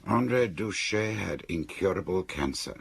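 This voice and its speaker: A reflective confidant in a radio drama, gently probing with questions. His voice is very low and gravelly, with a husky edge and expressive swings in tone. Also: normal speed, full character voice. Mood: thoughtful, questioning. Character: thoughtful, questioning confidant hearing out a detective friend.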